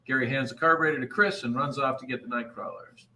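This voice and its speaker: A man narrates script directions in an informal, live read-through. His voice is bright and forward, at a middling pitch. There is faint background noise. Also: fast, low-quality recording. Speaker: reader of stage directions.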